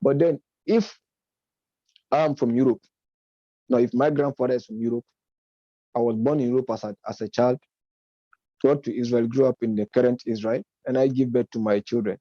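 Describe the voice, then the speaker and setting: Man, mid-pitch, very dark tone, argumentative lecture delivery with frequent self-corrections; dry, muffled recording. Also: fairly even intonation, normal speed, pauses often, dry recording. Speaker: speaker on politics and religion. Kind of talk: unscripted lecture-style commentary.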